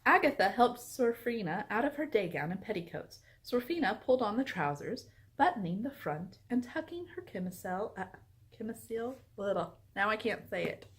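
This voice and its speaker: Animated woman book vlogger, lively pitch. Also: moderate pace. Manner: animated, expressive. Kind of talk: chatty vlog with expressive read-aloud book excerpts.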